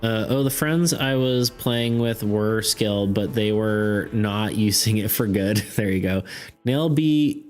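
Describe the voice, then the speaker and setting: A man's voice: lowish voice, measured pace, darkish tone. A young man streaming casually about art and game design, rambling with lots of fillers and laughs, saying he is losing his voice. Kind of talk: casual live-stream chat. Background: faint background music.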